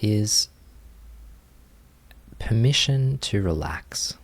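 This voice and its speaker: A man with a low, gravelly-edged voice leads a guided meditation. He speaks very slowly and gently, leaving long pauses between short phrases. His delivery is inviting and unhurried, with fry in the tone. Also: animated. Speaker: meditation teacher.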